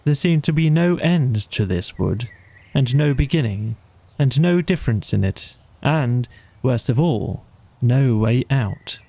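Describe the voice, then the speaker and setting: Mellow, creaky-voiced male bedtime narrator. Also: mid-range voice, expressive, measured pace. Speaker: audiobook narrator.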